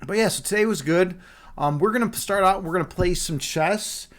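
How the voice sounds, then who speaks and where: A man's voice: high voice, moderate pace. A young man with a Chicago accent, casually chatting with viewers on a live stream, laid-back and chatty, calling people man and dude. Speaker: streamer and chess instructor.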